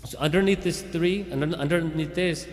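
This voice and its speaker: A police chief giving an informal talk at a community event, speaking conversationally and often restarting sentences and checking in with "okay?". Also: male voice, on the high side, moderate pace. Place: Islamic center hall. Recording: reverberant hall from a livestream.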